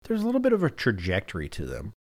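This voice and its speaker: A man's voice: on the low side, wildly swooping pitch, fast talker. A man hosting a podcast in casual conversation, telling anecdotes in a self-deprecating way. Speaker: podcast host.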